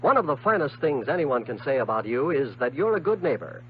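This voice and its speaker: A man's voice: medium pitch, big pitch swings, a little fast. A man with an American accent reading a script as a 1950s radio announcer. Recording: muffled, noisy 1950s radio recording.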